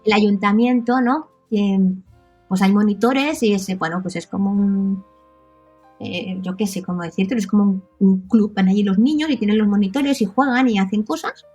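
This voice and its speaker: A woman's voice: medium pitch, moderate pace, darkish tone, soft background music. A woman in her thirties from Catalonia with a Catalan accent, a native Catalan speaker chatting casually as an interview guest, often adding tag questions. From Catalonia, Spain. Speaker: podcast guest.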